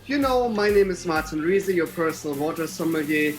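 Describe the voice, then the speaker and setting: A man in his forties with a German accent, co-hosting a casual chat and telling stories with lively gestures from his living room over a video call. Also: a little high, fairly quick, faint music behind. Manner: animated storytelling with lively hand gestures. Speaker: podcast co-host. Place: living room with bookshelves and a painting.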